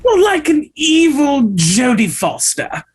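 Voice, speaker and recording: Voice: high-pitched, sing-song, takes it slowly, exaggerated voice. An actor doing a languid, campy dancer. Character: campy, arch young male dancer. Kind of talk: table read of a screenplay.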